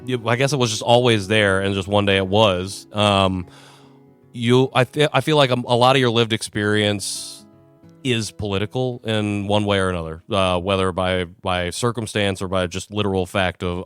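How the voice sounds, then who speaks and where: A man's voice: on the low side, steady tone, moderate pace, a bit bright, clean tone, soft background music. A man hosting a podcast interview, easygoing and affirming, asking questions in a casual chat. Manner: easygoing, frequent affirming "yeah" and "sure". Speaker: podcast host.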